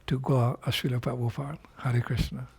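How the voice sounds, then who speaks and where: An older man, a spiritual teacher, recording a video message in an unhurried, reflective way, pausing often with fillers and restarts. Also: mid-range voice, level tone, vocal fry, a little mellow, husky.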